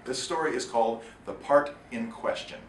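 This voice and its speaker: A man reading his own short story aloud in an expressive, wry and deliberate storytelling manner. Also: medium pitch. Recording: echoey room, distant mic, faint noise.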